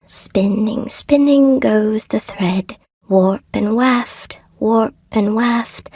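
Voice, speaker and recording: Game role: sweet, lonely spider-woman shopkeeper, gentle and eager to please, fairly high voice, unhurried, dry studio sound. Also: no echo. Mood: soft singsong. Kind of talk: video game voice acting, short character barks.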